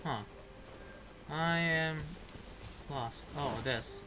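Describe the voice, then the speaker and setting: A man's voice: a little high, drawn-out words, noisy background. A man giving casual game commentary, reacting informally and muttering to himself as he plays. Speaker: gamer playing and reacting. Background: game music.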